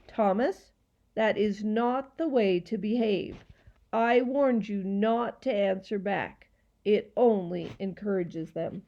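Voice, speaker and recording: A woman's voice: medium pitch, lively intonation, highs cut off. A woman voicing a stern male professor in a children's story, admonishing firmly and sharply. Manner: firm, sharp, measured.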